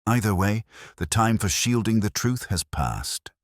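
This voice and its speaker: A man's voice: on the low side, expressive, a little slow, no echo, clean studio sound, pitched above the performer's own voice. A man voicing a cool, relentless detective in a storybook mystery, questioning in a level, precise manner. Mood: stern. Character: cool, incisive male detective, relentless and commanding. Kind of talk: audiobook detective story dialogue.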